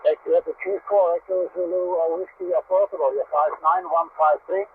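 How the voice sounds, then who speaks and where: A man's voice: high voice, steady tone, fairly quick. A man operating amateur radio, giving clipped call signs over a radio transmission. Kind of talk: clipped on-air radio exchange. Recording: noisy, phone-like shortwave radio reception.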